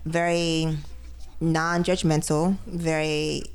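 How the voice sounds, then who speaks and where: A woman's voice: low-pitched, little pitch movement, slow pace, clean tone. A young woman from the United States, talking in a loose, rambling way in a casual group chat and laughing often. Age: young adult. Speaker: podcast guest host.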